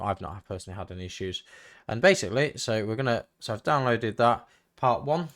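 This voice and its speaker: A man giving a casual, hesitant software walkthrough, thinking aloud as he goes. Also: fairly low pitch. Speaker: tutorial host. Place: indoors, voice-over for screen recording.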